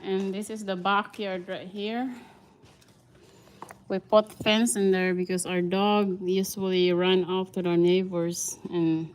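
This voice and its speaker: A woman's voice: on the low side, a little mellow, clear, resonant tone. A woman from the Philippines with a Filipino accent, chatting casually as she gives viewers a tour of her house and greets them by name. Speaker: vlogger, mother.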